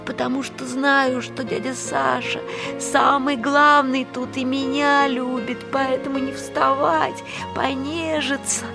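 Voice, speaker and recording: Troubled, childlike wife in audio play; unhurried, dreamy, emotional delivery, clear music bed behind her. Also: a little high. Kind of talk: dramatic monologue in an audio play.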